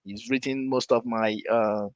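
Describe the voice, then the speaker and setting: A man raised in Nigeria tells his story on a podcast at a mid pitch, in a relaxed, meandering way. He often stops to correct himself and laughs lightly between points. The sound is dry and close, with no room echo. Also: unhurried, clean tone. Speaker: podcast host. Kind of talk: casual storytelling chat.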